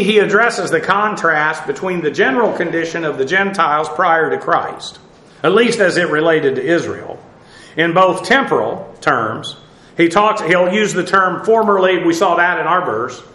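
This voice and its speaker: A man with a notably high voice teaches through a sermon verse by verse, pausing often on fillers and restarting his phrases. He is recorded in a reverberant church hall. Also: sharp tone. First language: English. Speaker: pastor. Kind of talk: expository sermon. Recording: echoey room.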